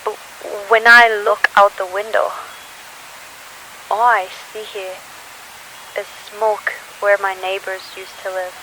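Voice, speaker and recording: A slow, bright-voiced woman playing a sorrowful teenage survivor, recording an audio diary for a post-apocalyptic drama. The delivery is halting and solemn, with little pitch movement, under a faint hiss. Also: fairly even intonation, low-quality recording. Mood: bleak, weary. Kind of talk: scripted audio diary monologue. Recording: hissy recording with faint noise.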